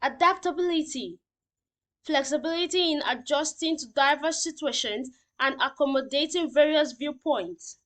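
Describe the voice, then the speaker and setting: Woman, high voice, teacher, didactic lesson delivery, explains simply, checks understanding. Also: moderate pace. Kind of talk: lesson reading slide points and explaining simply.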